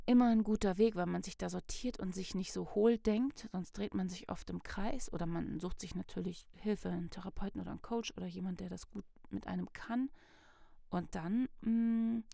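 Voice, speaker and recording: Woman doing a chill self-help talk, lowish gravelly voice, dry close sound, thinks out loud. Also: no room sound. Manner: reflective, thinks aloud with fillers, acts out inner dialogue.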